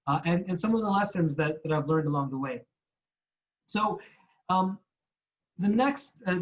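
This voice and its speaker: A man's voice: a little high, muffled recording. A young man from Canada giving a frank, informal talk with slides, restarting his sentences and repeating words often. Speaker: guest speaker.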